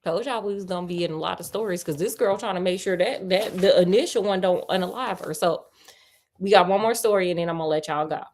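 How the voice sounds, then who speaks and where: A woman host reading a story aloud on a livestream, breaking in with playful, colloquial asides and expressive delivery. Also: fairly low pitch, quick pace.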